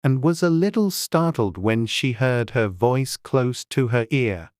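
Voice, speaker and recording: A woman's voice: rumbling low, animated, measured pace, a little mellow, no room sound, studio quality. A woman reading scripted storybook narration as an audiobook narrator.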